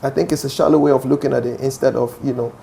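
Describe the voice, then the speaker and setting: A man's voice: medium pitch, fairly even intonation, quick pace, gravelly, warm tone, a little hiss or hum. A young Ghanaian man with a Ghanaian accent, a musician answering interview questions assertively, often checking "do you understand?". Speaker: musician guest.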